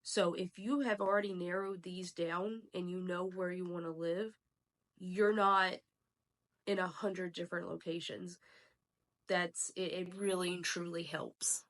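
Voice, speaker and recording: A woman who is sick and a little raspy, talking casually and emphatically as she gives advice as a realtor and channel host. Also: fairly low pitch, fairly even intonation, a little slow. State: sick, slightly hoarse. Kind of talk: casual talk to camera, advice. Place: at home, indoors.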